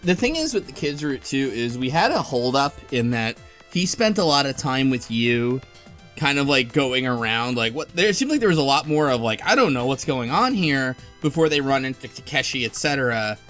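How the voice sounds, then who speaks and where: A man's voice: medium pitch, sing-song, moderate pace, a bit bright, soft background music. A man co-hosting a podcast in casual, conversational talk. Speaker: podcast co-host. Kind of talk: casual chat.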